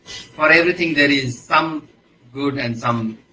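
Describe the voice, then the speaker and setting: A man's voice: on the high side, measured pace, a crowd in the background, poor audio. A man from Nepal with a Nepali accent, giving hesitant, conversational answers as an interview guest, often adding "you know". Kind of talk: casual interview talk.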